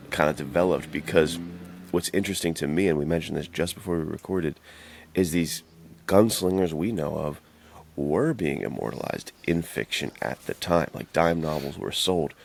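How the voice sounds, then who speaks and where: A man's voice: extremely low pitch, normal speed, creaky, slight background noise. A man co-hosting a podcast in casual, informal chat with plenty of slang. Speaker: podcast co-host.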